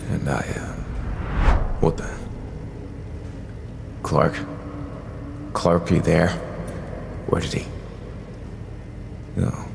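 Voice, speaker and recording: A man playing a brooding, remorseful superhero vigilante in an audio drama, speaking in a halting, gravelly voice. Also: low-pitched, noisy background, full character voice. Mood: puzzled. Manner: slow, halting delivery. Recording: music under the voice.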